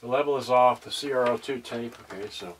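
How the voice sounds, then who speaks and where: A man narrating an unboxing off the cuff in a casual, halting way, thinking aloud in broken fragments. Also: medium pitch. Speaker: vlogger unboxing and testing a vintage cassette radio. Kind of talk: unscripted casual narration while handling items. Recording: distant mic, loud noise, clicks and handling.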